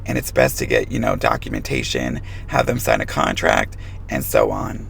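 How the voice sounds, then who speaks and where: A man who is losing his voice, hoarse, giving frank advice to fellow stylists in a hair colouring tutorial. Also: very deep voice, big pitch swings, moderate pace, fairly bright tone, a little hiss or hum. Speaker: hair colorist.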